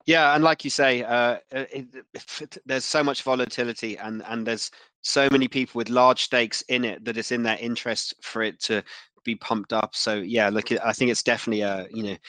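A man hosting a casual interview, halting and repeating words as he works his way through questions and remarks. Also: mid-range voice, fairly even intonation, sharp tone, no room sound, poor audio. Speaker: interviewer, host.

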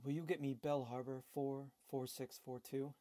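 A woman playing a defeated, weary husband in a radio drama, husky, grave and quietly resolute. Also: flat delivery, normal speed, no room sound. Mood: subdued. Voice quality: low, husky.

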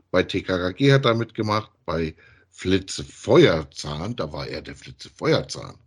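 A man's voice: lowish voice, breathy edge. A man co-hosting a podcast, speaking in a casual, conversational style. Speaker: podcast co-host. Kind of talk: casual chat about audio drama voice actors.

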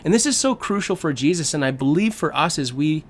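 A man's voice: fairly high pitch, animated, a little fast. A middle-aged man preaching a sermon as a pastor, emphatic and given to dramatic repetition.

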